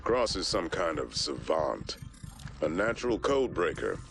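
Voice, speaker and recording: An actor playing a grizzled male intelligence briefer, gravelly and terse, in a game cutscene. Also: very deep voice, big pitch swings, measured pace, a bit bright, faint music behind, exaggerated voice. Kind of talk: video game intel narration.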